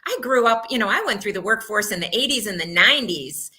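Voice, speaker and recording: A woman in her sixties, a guest in a casual chat, telling stories in a lively way with acted-out quotes. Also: mid-range voice, fast. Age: 60s. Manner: lively, animated storytelling with put-on quotes.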